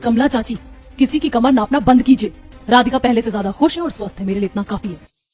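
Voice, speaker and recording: A woman playing a protective elder sister-in-law in a cartoon, calm, firm and guiding. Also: fairly high pitch, fast, soft background music, close to an everyday voice.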